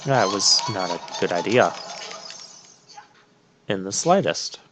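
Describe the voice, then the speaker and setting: A man's voice: sits low, expressive, slow pace. A man giving casual commentary as he plays through a video game. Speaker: video game playthrough host. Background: video game music.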